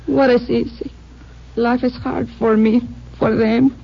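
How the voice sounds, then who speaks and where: High-voiced, sorrowful young widow. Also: female voice, flat delivery, takes it slowly, gravelly, full character voice. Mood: sorrowful, plaintive. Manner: plaintive, simple, earnest phrasing. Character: sorrowful, proud widowed sharecropper's wife and mother. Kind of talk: 1950s radio drama acting. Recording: muffled old radio recording.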